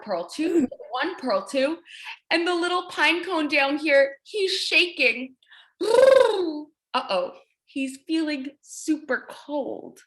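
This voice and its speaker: A woman reading aloud to young children as their teacher, encouraging and exaggerated, pausing to ask questions. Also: sits high, a bit bright.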